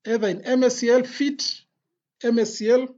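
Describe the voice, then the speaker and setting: An instructor giving an explanatory lecture in a deliberate, phrase-by-phrase way with pauses. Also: mid-range voice, steady tone, darkish tone. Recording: dull, lo-fi voice recording.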